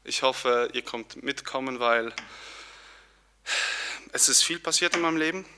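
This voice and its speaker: A young man from Poland, giving an earnest personal testimony with pauses and restarts. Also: mid-range voice, pushed and bright, clear, resonant tone. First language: Polish. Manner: earnest, searching, with fillers and restarts.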